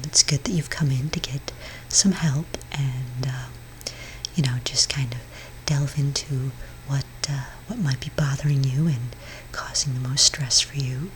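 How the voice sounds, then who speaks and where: A woman speaking in a breathy whisper for a soft-spoken ASMR roleplay, playing a gentle, hesitant therapist for witches. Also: very deep voice, a little hiss or hum, poor audio. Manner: gentle, hesitant, halting counsel.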